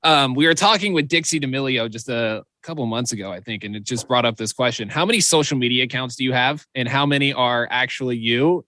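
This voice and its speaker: A man's voice: medium pitch, fairly quick, hardly any pauses, sharp tone, dry recording. A man hosting a radio show, asking easygoing, enthusiastic questions in a casual interview. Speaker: radio host.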